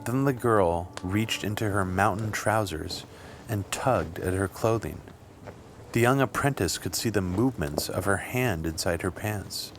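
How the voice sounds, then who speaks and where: A man reading scripted literary fiction as an audiobook narrator, with measured, deliberate phrasing. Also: on the low side, a little hiss or hum. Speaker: audiobook reader. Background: music.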